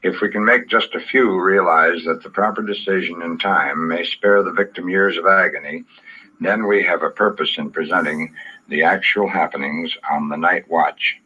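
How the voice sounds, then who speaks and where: A man speaking as a police chief, giving solemn, measured, scripted commentary in a formal 1950s radio style. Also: low-pitched, steady tone, breathy edge. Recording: dull old radio recording.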